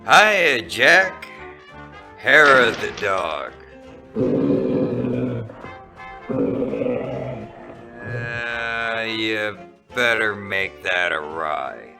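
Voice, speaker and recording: Man acting a deep, echoey noir private eye. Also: drawn-out words, full character voice. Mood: irritated. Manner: deadpan, world-weary. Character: hard-drinking, wisecracking male noir private eye, irritable. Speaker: lead actor and narrator of a radio play. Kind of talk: noir detective radio drama narration. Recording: very echoey, distant, music behind.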